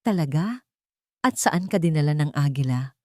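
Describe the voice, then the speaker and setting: A woman with a Filipino accent voicing a calm, gentle, respectful housemaid in a narrated story. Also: deep voice, normal speed, no echo, clean studio sound. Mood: tender. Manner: soft-spoken, respectful.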